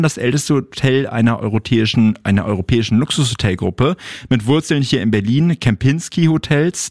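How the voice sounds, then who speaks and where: A man's voice: medium pitch, dry recording, studio quality. A man presenting on the radio in a casual, conversational, chatty style.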